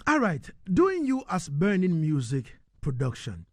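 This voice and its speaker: A man's voice: fairly high pitch, huge pitch swings, measured pace, no room sound, studio quality. A man hosting a live radio interview, speaking emphatically and directly to his listeners.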